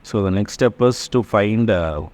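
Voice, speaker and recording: A man giving a step-by-step tutorial as an engineering lecturer, halting as he works through math and checking that listeners follow. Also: fairly low pitch, darkish tone.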